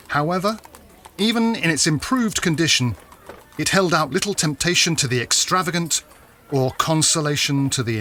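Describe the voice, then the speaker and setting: Male narrator, period drama, solemn + theatrical, crisp forceful tone, bit of bg noise. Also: fairly high pitch.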